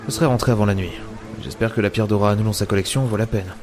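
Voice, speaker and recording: A man's voice: lowish voice, very fast, darkish tone, faint music behind, lightly acted. An actor playing a grumpy, world-weary male adventurer in an audio drama, sardonic and muttering. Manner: grumbling, sardonic, muttering to himself. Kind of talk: French audio drama acting.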